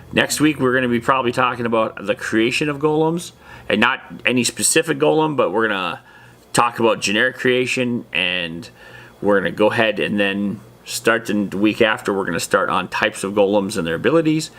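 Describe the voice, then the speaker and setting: A man's voice: lowish voice, bright, forceful tone. A man hosting a casual explainer in an informal, rambling and enthusiastic way, talking straight to viewers. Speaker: host of a tabletop gaming lore video series.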